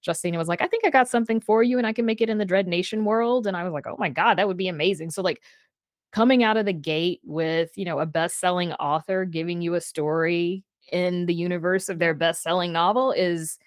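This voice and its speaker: Low-pitched woman, animated and informal. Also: brisk, no echo, clean studio sound. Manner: animated, informal, peppered with "like" and "you know". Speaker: guest, writer and podcast creator. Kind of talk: casual livestream conversation. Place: small book-lined room indoors, on a video call.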